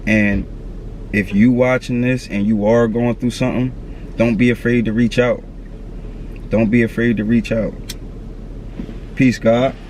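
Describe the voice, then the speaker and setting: A man's voice: medium pitch, little pitch movement, measured pace, pauses often, darkish tone, slight background noise. A man in his thirties talking candidly to camera outdoors, earnest and slangy, repeating his advice. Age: 30s. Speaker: vlogger. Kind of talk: candid motivational talk to camera.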